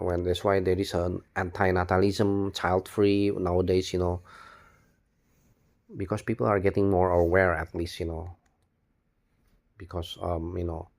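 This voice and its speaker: A man who is a non-native English speaker, giving a spontaneous, rambling monologue as a video blogger, halting and often repeating his words. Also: sits low, darkish tone. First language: not English. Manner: repetitive, halting, restarts words and phrases often.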